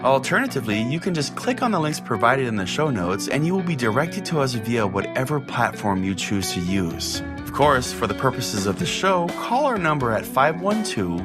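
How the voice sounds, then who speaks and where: Man playing lively, pompous pastor radio host. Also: mid-range voice, normal speed, few pauses, music in the background, lightly acted. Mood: upbeat sign-off. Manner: interrupting, self-important, foul-mouthed. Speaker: podcast host. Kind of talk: comedic call-in show hosting.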